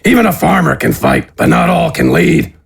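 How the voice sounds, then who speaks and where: A man's voice: mid-range voice, huge pitch swings, moderate pace, gravelly, slightly rough, exaggerated voice. A man playing a weary, plain-spoken soldier captain with a frank, easygoing manner in a fantasy audio story. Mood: frank, skeptical.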